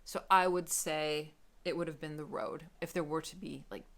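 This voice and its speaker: A woman book vlogger chatting casually to camera in an expressive, emphatic way. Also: low voice, normal speed.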